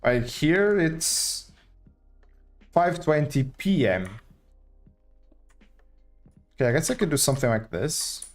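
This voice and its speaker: A man's voice: a little high, big pitch swings, slow pace, long pauses, faint music behind. A young man from Portugal, casually thinking aloud as he live-streams a building game. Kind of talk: live gameplay commentary.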